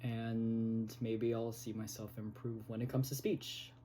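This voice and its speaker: Slow, mellow-voiced man vlogging. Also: on the low side, flat delivery, slight background noise. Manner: halting, hesitant, with many pauses. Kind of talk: casual vlog talk. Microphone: phone recording.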